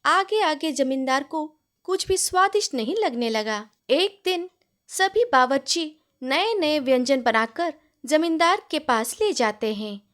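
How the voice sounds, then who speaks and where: A woman's voice: high-pitched, animated, unhurried, a bit bright. A woman storyteller reading a scripted tale as narration.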